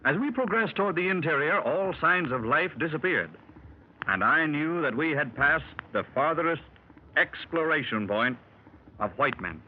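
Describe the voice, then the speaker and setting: A man's voice: a little high, animated, normal speed, close to an everyday voice. An actor playing a learned middle-aged explorer, telling his tale to fellow club members in a formal, old-fashioned way, in 1930s radio drama style. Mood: measured. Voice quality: formal, clubby storyteller. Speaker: explorer recounting his expedition. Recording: muffled hissy 1930s radio transcription.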